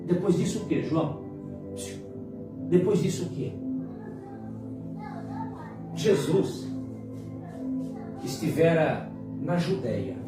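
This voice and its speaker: A Brazilian pastor in his late fifties delivers a sermon in a fairly high male voice. He pauses dramatically and repeats phrases to drive them in. The sound is heavily echoey and distant from the mic, with music clearly behind him. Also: sing-song. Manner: dramatic pauses and repetition for emphasis.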